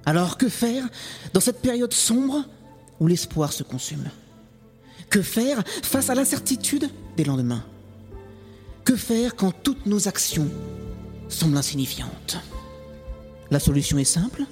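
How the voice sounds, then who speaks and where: A man's voice: high-pitched, big pitch swings, a little slow, pauses often, darkish tone, faint music behind. A man co-hosting a comic podcast, narrating its intro theatrically. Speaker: podcast co-host.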